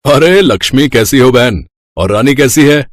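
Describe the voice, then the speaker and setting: Man acting a kindly, gruff grain merchant. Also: mid-range voice, lively intonation, moderate pace, a little hiss or hum, close to an everyday voice. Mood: warmly impressed. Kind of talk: acted dialogue in a children's moral story.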